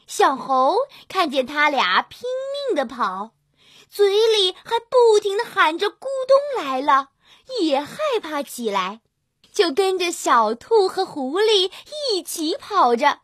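A woman storyteller narrating a scripted fairy tale for young children in an expressive, drawn-out manner. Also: high voice, dry recording, clean studio sound. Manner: expressive, drawn-out storytelling with gentle sentence particles. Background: children's music.

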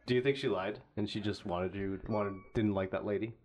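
A man's voice: lowish voice, fairly even intonation, brisk, darkish tone. A man co-hosting a casual comedy podcast, laughing a lot and swearing as he jumps between topics. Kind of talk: casual banter.

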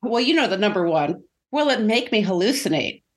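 A woman's voice: medium pitch, sing-song, a little fast. A middle-aged woman co-hosting a podcast in a casual, conversational way. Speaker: podcast co-host.

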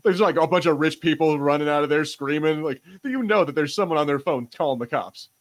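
A man's voice: fairly high pitch, fast. A man co-hosting a podcast in casual pop-culture banter, speaking informally and swearing freely. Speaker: podcast host.

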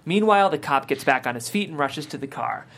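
A narrator reads screenplay stage directions in a medium-pitched voice. The delivery is scripted narration, moving through scene headings and action lines. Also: lively intonation, fairly quick, fairly bright tone.

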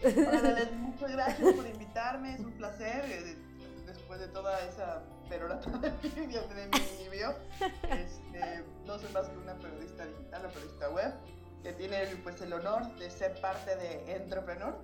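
A Mexican woman editor, a guest in an interview, relaxed and self-deprecating, with a Mexican Spanish accent. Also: mid-range voice, normal speed, echoey room, loud music behind the voice. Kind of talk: casual interview.

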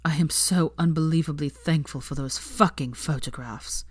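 A woman's voice: sits low, moderate pace, husky, no echo, studio quality. A woman voicing an anxious, stubborn young man in love, self-conscious and easily flustered, in an audiobook-style story reading. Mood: earnest, tender.

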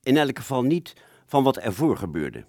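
A man's voice: medium pitch, sing-song, normal speed, gravelly, mellow, no room sound, clean studio sound. A man playing a wartime fighter pilot who looks back on a mission, telling it reflectively and hesitantly in a radio play. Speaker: storytelling narrator of a radio play. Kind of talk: reflective first-person radio drama narration.

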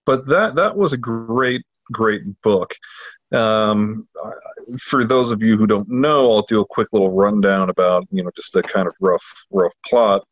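A man co-hosting a podcast, chatting casually in a halting way full of fillers, on a slightly dull recording. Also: lowish voice, dry recording. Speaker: podcast co-host. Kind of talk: casual chat, informal book recommendation.

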